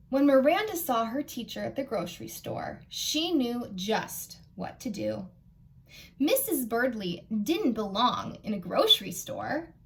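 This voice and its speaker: An American woman, a children's librarian, reading a picture book aloud in an animated, expressive way and putting questions to her young listeners. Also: high voice.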